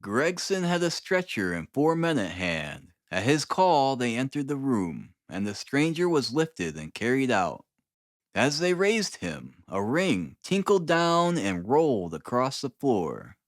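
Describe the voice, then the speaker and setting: A man narrating a classic story as an audiobook, in a measured, deliberate, dramatic reading style. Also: medium pitch, sing-song, no echo, studio quality. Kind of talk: dramatic scripted literary narration.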